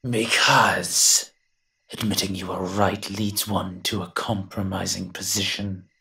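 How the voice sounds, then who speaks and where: Grumbling low-voiced monster, acted by a man. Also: level tone, slow pace, vocal fry, sharp tone, heavily acted, rough recording. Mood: grudging, sardonic. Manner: grumbling, sardonic, dry. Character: grumbling, reluctant invisible male monster, secretly soft-hearted. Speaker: voice actor in an audio roleplay. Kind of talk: scripted character monologue, audio roleplay.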